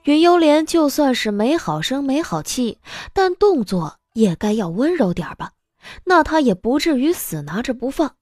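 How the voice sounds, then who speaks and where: A woman narrating a scripted audio drama in an expressive storytelling style. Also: high voice, normal speed, no echo, clean studio sound.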